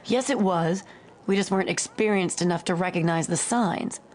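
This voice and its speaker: A woman's voice: on the low side, moderate pace, lightly acted. A woman playing a hard-bitten, cynical city guard in an audiobook drama, blunt and matter-of-fact.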